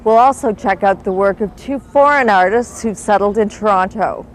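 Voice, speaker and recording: A woman TV reporter introducing a feature and interviewing artists in a clear, upbeat presenter manner. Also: medium pitch, moderate pace, a little hiss or hum, poor audio.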